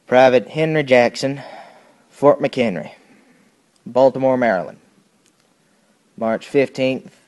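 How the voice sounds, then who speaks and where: A man's voice: on the low side, a little mellow, natural voice. An actor playing a plain-spoken 1870s army private, recounting his past in a folksy audio drama monologue. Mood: plain, emphatic.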